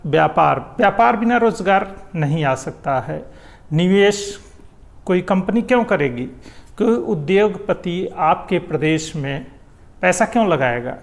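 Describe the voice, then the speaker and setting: A man's voice: on the high side, gravelly. A man giving an explanatory, didactic opinion monologue as a commentator on public affairs. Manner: explanatory, didactic, rhetorical questions. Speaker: political commentator.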